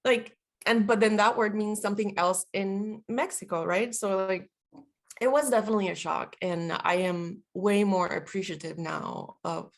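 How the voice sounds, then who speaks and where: A woman's voice: medium pitch, moderate pace, creaky. A woman of about forty from Puerto Rico, a native Spanish speaker, talking reflectively and casually in an interview with lots of fillers. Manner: reflective, chatty, many fillers. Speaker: guest, veteran and linguist.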